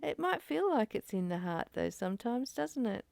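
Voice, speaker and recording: High-set female voice, gravelly fry, earnest spiritual teaching, rhetorical questions, dry recording. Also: sing-song, normal speed, darkish tone, no room sound. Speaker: host of a spiritual study session. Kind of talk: informal spiritual teaching and discussion.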